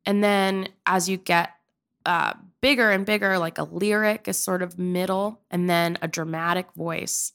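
Young woman guest, lower register, fry-heavy, loose and chatty. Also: steady tone, unhurried, fairly bright tone. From Pennsylvania. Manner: chatty and rambling, with many filler likes. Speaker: guest, opera-trained improv performer. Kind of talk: casual interview chat.

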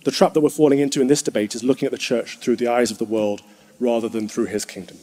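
A man, a clergyman, giving a formal, measured speech to an assembly. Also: mid-range voice, fairly even intonation, dark tone. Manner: measured, firm oratory.

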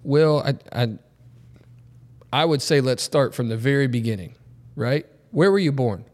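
A man's voice: medium pitch, unhurried, a little mellow. Rambling male podcast host in a reverberant room. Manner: rambling, many fillers and restarts. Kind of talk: casual podcast chat. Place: studio.

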